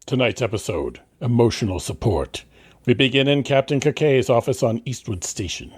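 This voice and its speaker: A man's voice: mid-range voice, lively intonation, moderate pace, darkish tone, slightly rough, no echo, studio quality. A man reading scripted narration in the style of an old radio serial. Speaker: narrator of an audio drama.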